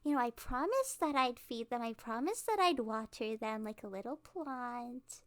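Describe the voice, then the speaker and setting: A woman's voice: a little high, expressive, close to an everyday voice. A woman playing a cute, awkward, cheerfully menacing kidnapper girl in a rambling, playful ASMR roleplay monologue. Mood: awkward, cheerful menace. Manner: rambling, halting, giggly, playful.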